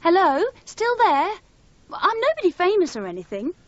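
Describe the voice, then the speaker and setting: An actress playing a young would-be poet in a radio drama, chirpy, pushy and guileless. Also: female voice, very high voice, sing-song. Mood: breezy, guileless. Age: young adult. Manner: chirpy, brisk, matter-of-fact. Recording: muffled, noisy 1980s radio recording.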